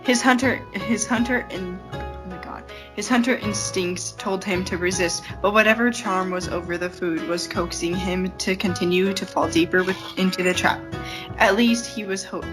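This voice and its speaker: A woman reading a story aloud informally as a podcast host, giggly and breaking off into exasperated asides. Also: fairly low pitch. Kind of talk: informal read-aloud narration with banter. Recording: guitar music behind the voice. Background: plucked guitar music.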